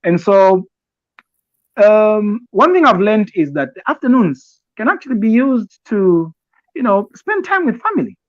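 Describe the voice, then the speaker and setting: A man from Zambia with a Zambian accent, giving conversational advice as a podcast co-host, explaining deliberately and haltingly with repeated phrases. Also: high-pitched, big pitch swings, no echo, highs cut off. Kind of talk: conversational advice talk with personal examples.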